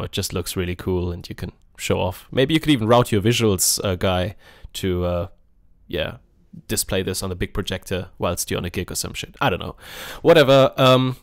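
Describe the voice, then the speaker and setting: A man hosting a software tutorial in a casual, offhand, informal way. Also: fairly low pitch, no echo, studio quality. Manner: informal, offhand, mildly sweary. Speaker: music software tutorial host. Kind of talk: casual screen-recorded tutorial.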